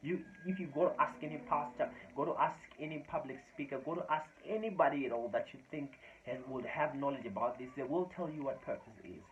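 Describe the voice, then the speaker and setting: A man's voice: a little high, fast talker, hardly any pauses. Earnest young man, Shona first language. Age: 20s. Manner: earnest, repeats phrases for emphasis. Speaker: YouTube channel host. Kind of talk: motivational talk to camera. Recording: dull lo-fi sound with faint noise.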